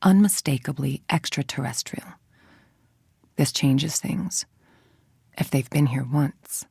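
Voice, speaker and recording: A woman playing a cold, arrogant villain scientist in a video game, speaking in a measured, clinical monologue with a breathy, creaky voice that trails toward a whisper. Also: sits low, a little mellow, no echo, studio quality, full character voice. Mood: weary, lonely. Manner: measured, clinical, precise diction. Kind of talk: video game voice acting, confessional audio log monologue.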